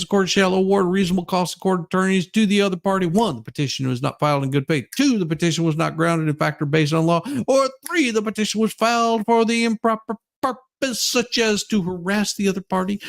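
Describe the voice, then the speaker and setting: A man's voice: high-pitched, gravelly. A man reading a legal document aloud in a casual, dramatic style, with irreverent wisecracking asides. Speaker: co-host reading a court ruling aloud.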